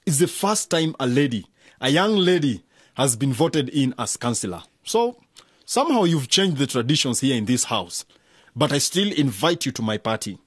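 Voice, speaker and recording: A smug, pompous male mayor in a radio drama. His husky voice swings widely in pitch as he condescends and throws his weight around. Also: very animated, moderate pace, no room sound, studio quality. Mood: smugly patronizing. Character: domineering long-serving male town mayor, patronizing and smug.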